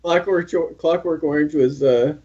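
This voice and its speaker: A man hosting a casual online pop culture chat show, chatty and quick to laugh. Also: a little high, dark tone, dull audio. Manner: chatty and joking, laughs a lot. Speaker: podcast host.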